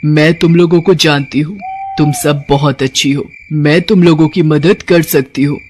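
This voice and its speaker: A woman's voice: low voice, steady tone, moderate pace, a little mellow, a little hiss or hum, natural voice. Woman voicing a solemn female forest spirit. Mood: solemn warning. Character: female forest ghoul spirit, solemn, helpful granter of powers. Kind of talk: animated moral story character acting.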